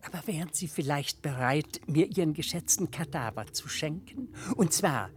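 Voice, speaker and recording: A ghoulish, overly courteous male stranger in an old radio play, with a low voice that swoops widely as it savors every grim detail. Faint tape hiss sits under the voice. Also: expressive, moderate pace. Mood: sly, macabre. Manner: smooth, mocking courtesy, relishing grim details. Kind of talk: classic radio drama acting.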